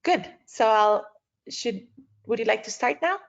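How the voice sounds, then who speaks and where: A woman's voice: a little high, animated, measured pace, creaky. A woman hosting an online panel, speaking off the cuff in a polite, accommodating way. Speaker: host and moderator of an online panel. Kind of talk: moderating a webinar, unscripted. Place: video conference call. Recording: dull lo-fi online call audio.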